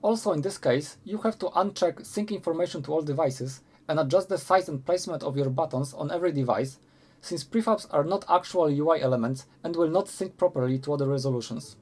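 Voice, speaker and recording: A man reading scripted instructional narration in a measured, explanatory manner. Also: on the high side, a little mellow. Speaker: tutorial narrator.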